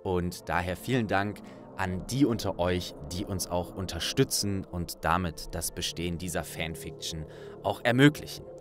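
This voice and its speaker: A man's voice: sits low, normal speed, music in the background. A man hosting an audiobook project, speaking casually and directly to his listeners. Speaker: audiobook narrator and host. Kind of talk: casual thank-you address to listeners.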